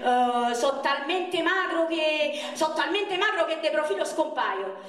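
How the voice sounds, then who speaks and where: A woman's voice: high voice, animated, measured pace, fairly bright tone. A woman on stage playing a very skinny, self-mocking young man from Rome, telling jokes in a declamatory, repetitive way with a Roman Italian accent. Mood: escalating, boastful self-mockery. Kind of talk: theatrical stage monologue. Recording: echoey stage recording from far off, noisy.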